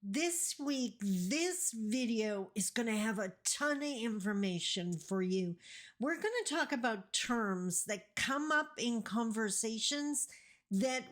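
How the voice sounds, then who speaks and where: A middle-aged woman from Northern Ontario speaks to her viewers in a slightly raised voice at an unhurried pace. She is still recovering from a cold. She talks to them warmly and directly, with plenty of enthusiasm. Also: a little high. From Northern Ontario, Canada. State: getting over a cold. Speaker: vlogger, host of her channel. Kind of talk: casual direct-to-camera talk.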